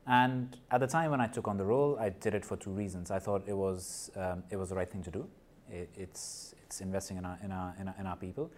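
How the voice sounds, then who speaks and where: Earnest man with a low voice. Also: fairly even intonation, quick pace, darkish tone, slight background noise. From Nepal and India. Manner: earnest, with frequent restarts and repeated words. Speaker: guest, corporate partner and mental health advocate. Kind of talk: podcast interview.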